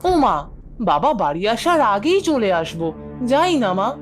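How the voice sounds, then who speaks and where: A woman's voice: mid-range voice, very animated, normal speed, natural voice. A woman voicing a mischievous, eager young schoolboy in a cartoon, with a whiny, wheedling way of talking. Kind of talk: animated cartoon voice acting. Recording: music behind the voice.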